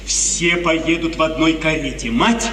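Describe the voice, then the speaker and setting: A nervous, venal manservant in a 1950s radio drama. His agitated, theatrical voice is high and sharp. He sounds distant in a reverberant space. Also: unhurried. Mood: uneasy. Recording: old echoey radio play, distant, faint noise.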